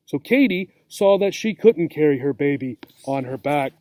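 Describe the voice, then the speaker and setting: Male teacher for children's story reading: mellow, slightly high, expressive, guiding young English learners. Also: moderate pace. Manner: checks understanding with questions.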